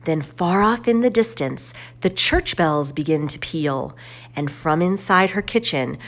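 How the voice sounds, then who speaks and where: Slow, expressive woman reading a children's story. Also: sits low. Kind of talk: expressive children's read-aloud narration.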